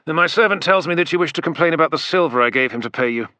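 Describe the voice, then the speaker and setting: A man's voice: on the high side, animated, quick pace, pushed and bright, no echo, pitched above the performer's own voice. A man playing a curt young commander. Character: young impatient male officer in command.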